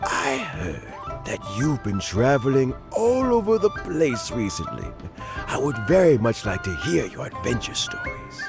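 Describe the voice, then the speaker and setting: An actor playing a genial, dignified older statesman in a fantasy game, speaking in courteous, measured, formal phrases. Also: mid-range voice, wildly swooping pitch, gravelly, full character voice. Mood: welcoming. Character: genial, dignified aging male statesman, frail but sharp. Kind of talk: fantasy video game voice acting. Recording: game music behind the voice. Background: background music.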